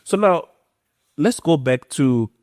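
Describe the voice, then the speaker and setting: A man's voice: fairly high pitch, expressive, takes it slowly, darkish tone, no echo, studio quality. A man hosting a podcast interview, asking questions and affirming with frequent mm-hmms. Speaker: podcast host.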